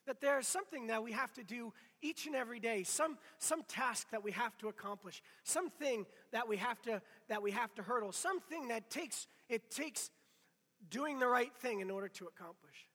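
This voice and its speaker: Hoarse, bright-voiced preacher man. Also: very high voice, moderate pace, long reverb tail. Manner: conversational, self-deprecating, many restarts. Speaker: pastor. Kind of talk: casual sermon.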